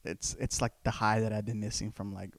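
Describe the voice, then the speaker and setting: A relaxed man from Southern California chats as a comedy guest. His voice sits on the low side and sounds dry and close, and he talks loosely, swearing often and circling back to his points. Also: brisk, dry recording. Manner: laid-back, profane, repeats himself. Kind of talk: casual chat.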